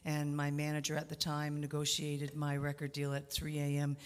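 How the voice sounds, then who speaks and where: Low-voiced Canadian woman, halting. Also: completely flat delivery. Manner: hesitant, many fillers and restarts. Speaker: guest musician. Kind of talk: radio interview.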